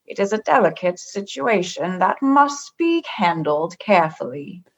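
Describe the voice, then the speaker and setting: Woman playing a slow, measured, stern alien ruler. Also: fairly low pitch, very animated, lo-fi sound, exaggerated voice. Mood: stern, formal. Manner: formal, measured, literal, unbending. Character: stern, cryptic alien religious and political leader. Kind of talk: sci-fi comedy radio acting.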